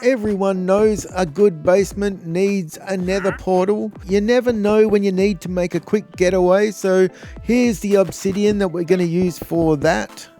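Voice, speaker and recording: High-voiced Australian man, jokey gameplay narration. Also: steady tone, normal speed, mellow, soft background music. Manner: jokey, blokey, informal. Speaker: video host. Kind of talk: scripted gameplay tutorial narration.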